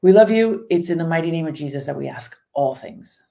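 A woman's voice: deep voice, animated, fairly quick, gravelly, warm tone. A woman co-hosting a faith talk show, chatting casually, reading viewer comments and leading an earnest spoken prayer. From Iran. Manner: chatty, reads viewer comments aloud, earnest when praying. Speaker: podcast co-host.

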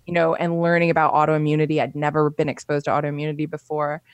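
Female guest, lowish voice, dry close sound, candid chatty storyteller. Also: completely flat delivery, fast, smooth, dry recording. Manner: frank and conversational, with frequent fillers. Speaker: podcast guest.

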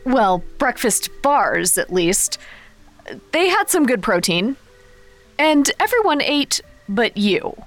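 A woman acting in an audio drama as a gentle, supportive member of a team. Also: a little high, sing-song, takes it slowly, faint music behind, full character voice. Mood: reassuring.

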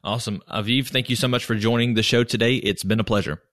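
A man hosting a leadership podcast, thanking his guest and giving an upbeat, clear summary of key takeaways. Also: mid-range voice, steady tone, quick pace, a bit bright. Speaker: podcast host. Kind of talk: podcast interview and recap.

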